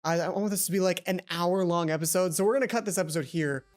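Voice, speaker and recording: Flustered, lower-voiced woman hosting a let's play. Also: fast talker, a little mellow. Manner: flustered, exasperated, often breaking off mid-phrase. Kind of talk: reading a visual novel aloud with casual asides. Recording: music playing behind the voice. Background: game music.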